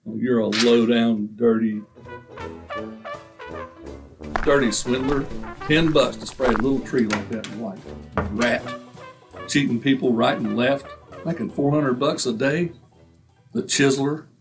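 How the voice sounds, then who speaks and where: A man's voice: medium pitch, moderate pace, music in the background, close to an everyday voice. A man acting a stubborn, penny-pinching husband in old-time radio comedy, indignant and folksy in manner. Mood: angry muttering.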